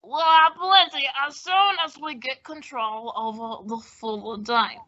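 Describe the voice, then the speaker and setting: Woman playing a slow, boozy shady doctor. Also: high voice, fairly even intonation, pushed and bright, lo-fi sound. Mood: scheming, wheedling. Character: shady, boozy male con-man doctor, smugly slippery. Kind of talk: old-time radio comedy drama acting.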